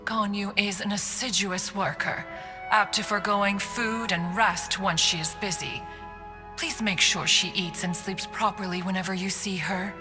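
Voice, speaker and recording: A woman's voice: mid-range voice, steady tone, unhurried, in a large hall, over music, lightly acted. A woman playing a dignified, aloof but caring immortal hermit in a game cutscene, speaking formally and archaically and calling herself "one". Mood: stern, concerned. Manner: formal archaic speech, refers to self as "one". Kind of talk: scripted video game cutscene acting.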